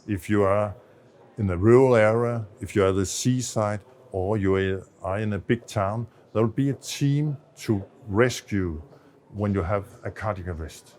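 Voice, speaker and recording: Low-voiced Danish man explaining. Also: moderate pace, a little mellow. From Denmark. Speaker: associate professor of medicine, expert interviewee. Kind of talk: explanatory interview answers on a medical project.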